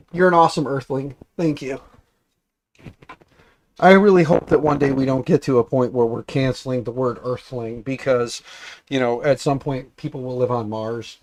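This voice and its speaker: A man hosting a casual livestream, congested and raspier than usual, rambling and repeating himself as he talks to his chat. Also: medium pitch, a little mellow. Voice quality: raspy, congested. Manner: mild swearing, offhand. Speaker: livestream host.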